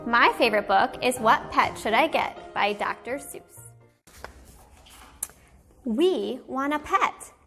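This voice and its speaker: A woman teacher reading a children's picture book aloud in an animated, deliberate storytelling manner for young listeners. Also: a little high, faint music behind.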